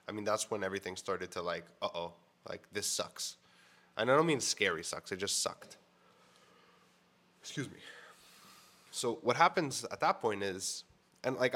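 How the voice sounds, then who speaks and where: A man's voice: on the low side, a bit bright. A man telling a personal story to camera in a frank, casual way, full of fillers and swearing, sounding a bit congested. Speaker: vlogger. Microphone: mic on camera setup.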